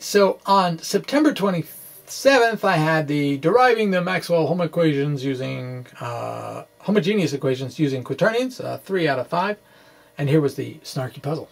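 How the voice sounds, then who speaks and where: A middle-aged man informally explaining physics as he reads his own blog aloud, halting, wry and self-deprecating. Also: on the high side, creaky. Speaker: physics blogger and presenter. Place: small room with bookshelves, indoors. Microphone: microphone on stand in front of him.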